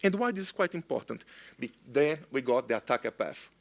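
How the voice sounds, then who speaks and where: A man's voice: mid-range voice, lively intonation, brisk, vocal fry. A young man from northeast Brazil with a Brazilian Portuguese accent, a native Portuguese speaker, gives an enthusiastic conference talk and often restarts his sentences. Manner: enthusiastic, loose phrasing with frequent restarts.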